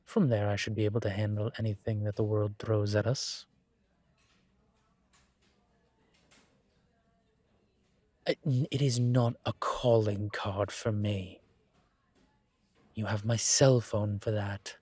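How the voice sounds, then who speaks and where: A man playing a suave incubus demon professor in a roleplay audio drama, teasing and protective, with a wry, measured delivery. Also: fairly low pitch, fairly even intonation, darkish tone, no room sound. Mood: earnest, protective.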